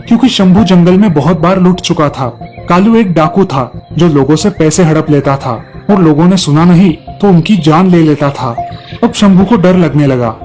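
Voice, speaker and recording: Casting: male narrator for children's moral tales, fairly high voice, music bed. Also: a little mellow. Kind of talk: scripted children's story narration.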